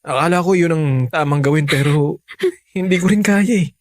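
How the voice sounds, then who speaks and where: A man's voice: on the high side, normal speed, no room sound, clean studio sound, lightly acted. A man playing a remorseful, tender married lover in a Tagalog radio drama, speaking hesitantly and apologetically.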